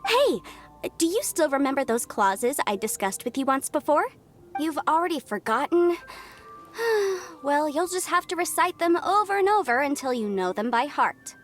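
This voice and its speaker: Game casting: bossy, bookish young heroine, very high squeaky-bright register, lively swings, lecturing manner. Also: female voice, moderate pace, soft background music. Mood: chiding. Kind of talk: animated video game voice acting.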